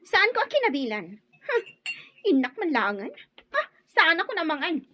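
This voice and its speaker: A woman's voice: very high-pitched, wildly swooping pitch, normal speed, pushed and bright, no room sound, highs cut off, exaggerated voice. An actress playing a spiteful, scheming young Filipino woman in an Ilocano radio drama. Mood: defiant.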